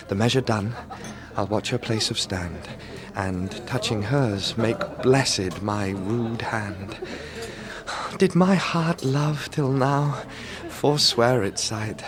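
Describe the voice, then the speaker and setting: An actor playing a lovestruck young nobleman, speaking lyrical verse with wonder in a Shakespearean audio drama. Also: lowish voice. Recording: music behind the voice.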